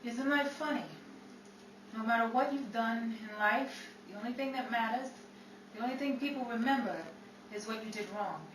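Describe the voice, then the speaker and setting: A woman's voice: fairly high pitch, moderate pace, natural voice. A woman acting a tough, ambitious young Chicago showgirl, defiant and dramatic, in the manner of 1930s radio drama. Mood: bitter, musing. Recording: roomy, distant mic with faint noise.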